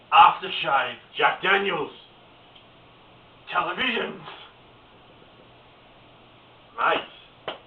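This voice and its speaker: Man as deadpan doomsday prophet, echoey room. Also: on the high side. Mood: mock-serious. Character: deadpan, crude doomsday prophet answering questions. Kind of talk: amateur mock documentary. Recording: echoey, distant, tinny, noisy home recording.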